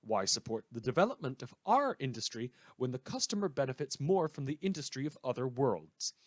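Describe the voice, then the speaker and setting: A man's voice: mid-range voice, very animated, fairly quick, no room sound. Man playing a sardonic radio newscaster, dry close sound. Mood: wry, mock-solemn. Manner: wry, theatrical, conversational asides. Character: sardonic, rambling madman community radio newscaster in fiction. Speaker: radio news host. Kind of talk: satirical scripted radio news monologue.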